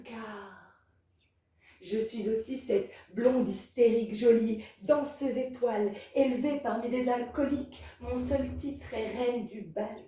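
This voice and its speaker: A woman from Belgium reciting spoken-word poetry as a slam poet, in a measured, rhythmic, incantatory way. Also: a little high, dark tone. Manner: measured, rhythmic, incantatory delivery with repeated refrains. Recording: very echoey, distant from the mic.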